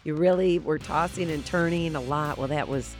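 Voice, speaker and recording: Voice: medium pitch, normal speed, faint music behind. A radio talk show host with a nasal, tired voice, talking earnestly and loosely about faith with many fillers, live from a studio. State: tired from little sleep.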